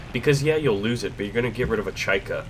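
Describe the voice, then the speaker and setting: A young man with a cold talks through his gameplay in a chatty, self-mocking way, his voice congested and fairly low. He clears his throat often. A faint drone of game engines sits behind him. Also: fast, a little hiss or hum. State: stuffed up with a cold. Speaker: gaming channel host. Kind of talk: casual gameplay commentary.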